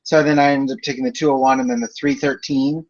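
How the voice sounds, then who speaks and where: A man's voice: mid-range voice, flat delivery, fast talker, highs cut off. A young man in his twenties, a student chatting casually with classmates about courses on a video call, rambling through explanations with lots of fillers. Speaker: student in a group project.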